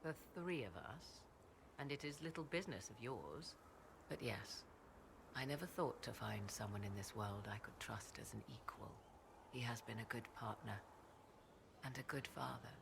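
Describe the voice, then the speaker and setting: An aloof witch from a fantasy game. She speaks in stately, archaic phrasing with dry disdain. Her voice carries a gravelly fry, and clear background noise sits under the game audio. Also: a bass voice, normal speed. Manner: formal, archaic diction, haughty and dry. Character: proud, aloof female witch and mother. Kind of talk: video game cutscene dialogue.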